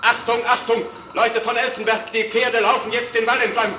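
An actor playing an urgent town announcer proclaiming ominous warnings over a loudspeaker in a radio play. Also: male voice, extremely high pitch, little pitch movement, moderate pace, a little hiss or hum. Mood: urgent, mounting alarm. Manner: proclaiming, ominous, repetitive calls. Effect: public address loudspeaker. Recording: distant echoing loudspeaker announcement. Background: music.

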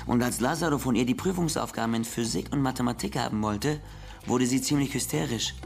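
Radio crime drama: male actor as a gravelly, confident detective, dry and needling, mid-pitched, steadily pressing his questions. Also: fairly quick, soft background music, close to an everyday voice. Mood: matter-of-fact. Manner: methodical, pointed questioning. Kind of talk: German radio play acting, police interrogation.

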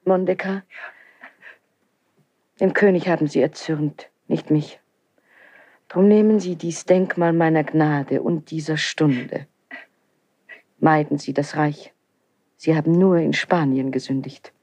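A woman's voice: low-pitched, fairly even intonation, slow pace, pauses often, dark tone, no room sound, heavily acted. An actress playing a dignified, fervent young queen with a solemn, declamatory delivery in a classical radio drama.

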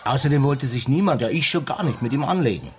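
An actor playing a wordy, evasive small-town official in a radio drama, disdainful and gossipy. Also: male voice, mid-range voice, expressive, fast, natural voice. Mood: dismissive. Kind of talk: naturalistic radio drama dialogue. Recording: clear ambient noise behind dialogue.

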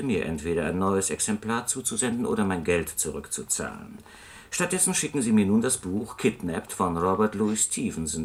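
An actor playing an aggrieved customer in a radio play, dictating complaint letters with formal, pointed politeness. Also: male voice, sits low.

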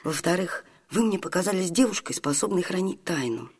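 A woman's voice: on the low side, lively intonation, breathy edge. An actress playing a self-possessed young Italian noblewoman disguised as a man, earnest and formal, in a radio theatre comedy. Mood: solemn pleading. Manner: earnest, formal, measured stage diction.

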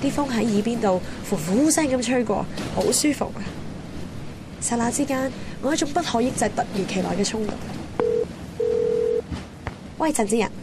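A woman's voice: fairly high pitch, lively intonation, normal speed, pauses often, heavy background noise, natural voice. An actress playing an impulsive, dreamy young woman in a Cantonese radio drama, with a Hong Kong accent. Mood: dreamy, exhilarated. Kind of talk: radio drama narration and dialogue. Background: music.

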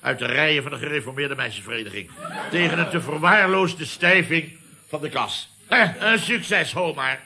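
An actor playing a pompous, nostalgic company boss who orates emphatically, in a Dutch radio comedy serial. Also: male voice, a little high, animated, normal speed, a crowd in the background. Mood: relishing, nostalgic. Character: bombastic, nostalgic male boss of a building firm.

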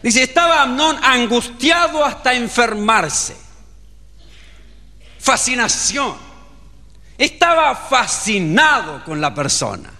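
A Chilean man preaches in a bright, sharp voice that swings widely in pitch. He takes his time and tells his story like a storyteller. A long reverb from the hall trails each phrase. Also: very animated, slow pace, pauses often. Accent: Chilean Spanish. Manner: storytelling preacher, acting out dialogue. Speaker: pastor. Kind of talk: sermon.

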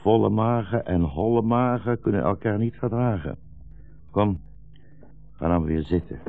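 An actor playing a weary, bitter old blind rabbi fleeing war, solemn and brooding, in a 1960s Dutch radio drama. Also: male voice, fairly low pitch, a little slow, soft background music. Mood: grave. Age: older. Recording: dull, muffled 1960s radio recording.

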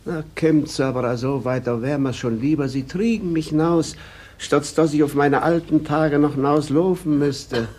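An actor playing a poor, troubled old weaver in a radio drama, hesitant and repeating words in Silesian German dialect. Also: male voice, on the high side, moderate pace, darkish tone, close to an everyday voice. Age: older.

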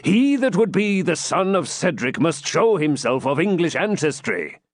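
A man voicing a stern, proud old Saxon lord and father in a forceful, declamatory storybook manner with archaic speech. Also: fairly high pitch, big pitch swings, normal speed, no echo, studio quality, voice pushed up.

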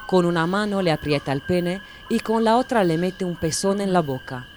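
A woman narrating an audiobook in a calm, measured manner. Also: on the low side, slight background noise. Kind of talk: audiobook reading.

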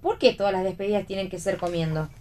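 An actress playing a wry, bossy stage performer who gives dry retorts and directs others in a radio play reading. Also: female voice, medium pitch, fast, a little mellow, slight background noise, lightly acted. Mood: wry, explaining. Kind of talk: radio read theatre dialogue.

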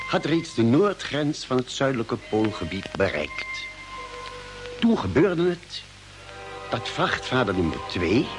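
An actor playing an expedition member who solemnly narrates a space journey in formal old Dutch radio drama style. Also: male voice, medium pitch, rough recording. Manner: formal, measured storytelling.

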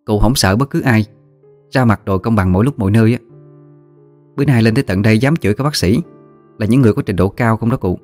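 A man's voice: fairly low pitch, fast, pauses often, a little mellow, natural voice. A man voicing an admiring, talkative young woman with a Southern Vietnamese accent, respectfully advising her elders in a story. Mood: fond advising. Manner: eager, chatty, respectful. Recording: music behind the voice.

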